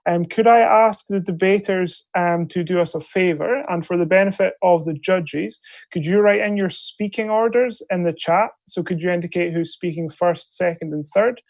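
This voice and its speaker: A man with a fairly high voice that keeps breaking into a gravelly fry, chairing an online debate. He hesitates politely between phrases, and the sound is dry, from a video call mic. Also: normal speed, a little mellow, dry recording. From Scotland. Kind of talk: procedural briefing on a video call. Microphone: video call microphone.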